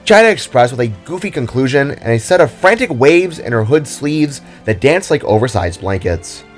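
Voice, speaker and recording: A man's voice: medium pitch, sing-song, normal speed, soft background music. A man narrating a scripted audio drama in a dramatic storytelling style.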